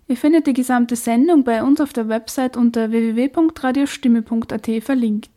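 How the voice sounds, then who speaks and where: A woman's voice: a little high, fairly even intonation, brisk, dark tone. A woman presenting a radio show in a scripted style, announcing segments as host.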